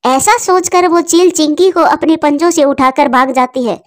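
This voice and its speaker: High-pitched woman narrating a children's story. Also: normal speed.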